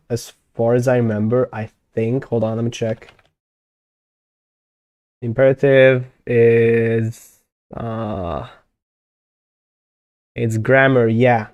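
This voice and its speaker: Hungarian man thinking aloud, mellow. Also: medium pitch, dry recording. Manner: thinking aloud, many fillers and self-corrections. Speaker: conlang streamer. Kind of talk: casual live-stream chat.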